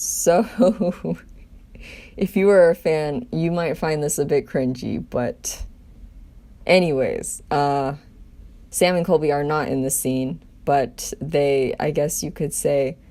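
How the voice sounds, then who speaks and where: A woman's voice: deep voice, mellow. A woman doing an amateur table read as storyteller, narrating a script in her own voice.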